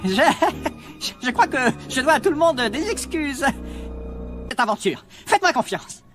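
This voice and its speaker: Excitable wisecracking meerkat, wildly swooping. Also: male voice, very high-pitched, normal speed, heavily acted. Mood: chatty, wry. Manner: theatrical, exclamatory, wisecracking. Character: fast-talking, excitable, self-pitying male meerkat dreamer. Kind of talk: animated film dialogue. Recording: music behind the voice.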